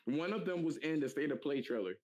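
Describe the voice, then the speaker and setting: A man in casual gaming podcast chat, animated and profane, acting out other people's lines as he talks. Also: a little high, fast talker. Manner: animated, profane, acts out quotes. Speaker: podcast co-host.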